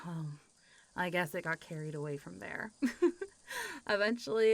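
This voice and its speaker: A woman playing a friendly, playful centuries-old zombie girl in a roleplay audio, chatting and teasing as if to one listener. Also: on the low side. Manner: chatty, teasing, frequent giggles and sighs, hesitant asides. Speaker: voice actor.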